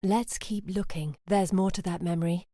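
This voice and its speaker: A woman's voice: on the low side, steady tone, normal speed, warm tone, no echo, clean studio sound, close to an everyday voice. An actress playing an earnest, curious woman scholar in a video game, articulate, thoughtful and dramatic in delivery. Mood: reflective. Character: earnest, curious woman scholar guiding an exile through memories. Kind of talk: video game character voice acting.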